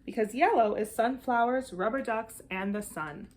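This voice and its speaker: A woman's voice: fairly high pitch, normal speed, darkish tone, lightly acted. A woman voicing curious young children in a storybook, with an eager, questioning, childlike delivery. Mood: pleased. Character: curious, doubtful young children, friends of the boy.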